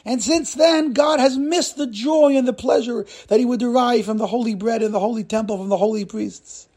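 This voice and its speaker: A man's voice: very high-pitched, a little mellow, slightly rough, pitched above the performer's own voice. A man voicing a holy rabbi sage in a religious story, impassioned and drawing out the words. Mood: fervent. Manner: impassioned, drawn-out delivery. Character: holy rabbi sage delivering a grave revelation.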